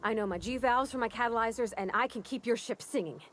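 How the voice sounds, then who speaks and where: A young woman acting an eager, awkward ship mechanic in a video game, with a folksy, halting, earnest manner. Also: on the high side, a bit bright, a little hiss or hum, natural voice. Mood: hopeful, eager. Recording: music behind the voice.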